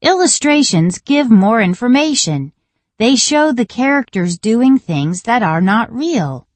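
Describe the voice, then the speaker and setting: A woman reading a scripted children's reading lesson in a deliberate, instructional manner. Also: on the high side, big pitch swings, no room sound. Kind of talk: scripted educational read-along narration for children.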